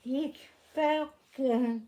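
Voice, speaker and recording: A woman with a hoarse voice from illness, chatting casually to viewers of an embroidery vlog. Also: sits high, fairly even intonation, drawn-out words, dark tone. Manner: chatty, rambling greetings.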